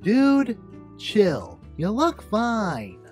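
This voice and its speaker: A woman's voice: medium pitch, huge pitch swings, very slow, a little mellow, faint music behind, exaggerated voice. A woman voicing a laid-back young male hedgehog in a cartoon comic dub. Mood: exasperated.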